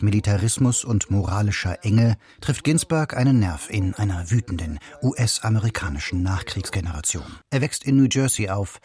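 A man narrating a scripted radio portrait in a measured storytelling way. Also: lowish voice, darkish tone, breathy edge. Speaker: radio reporter. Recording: radio feature with music bed.

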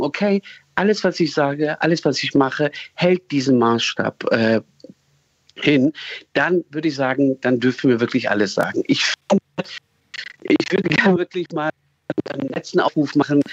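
A man's voice: medium pitch, no room sound, dull audio. Earnest hesitant man calling in. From Afghanistan. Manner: earnest, hesitant with many fillers. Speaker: radio caller. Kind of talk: earnest call-in appeal.

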